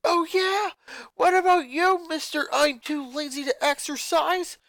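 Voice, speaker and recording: A man's voice: extremely high pitch, steady tone, unhurried, fairly bright tone, dry recording, full character voice. A man doing a defiant, mocking teenage tomboy. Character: defiant thirteen-year-old sporty girl.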